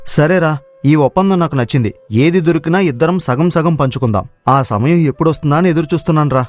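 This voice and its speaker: A man's voice: a little high, fast, natural voice. A man playing a lazy, cocky young village daydreamer, brash and impatient, in a moral story. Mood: eager. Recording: music playing behind the voice.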